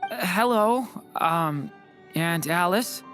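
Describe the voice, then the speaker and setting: Gentle, apologetic game boy: lowish register, expressive, hesitant delivery, music underneath. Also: slow pace. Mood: timid, puzzled. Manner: hesitant, soft-spoken, apologetic. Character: gentle, shy, earnest young boy with growing power. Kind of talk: anime video game voice acting.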